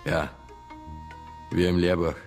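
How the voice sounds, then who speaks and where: An actor playing a methodical, probing police detective with a Bavarian accent in a radio crime drama. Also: male voice, low-pitched, little pitch movement, takes it slowly, faint music behind.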